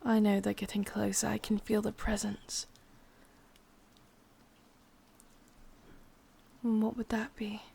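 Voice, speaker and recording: A woman with an English accent playing a possessive, doting witch captor in a whispered, intimate ASMR roleplay, breathy and softly commanding. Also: medium pitch, level tone, normal speed. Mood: tender, coaxing.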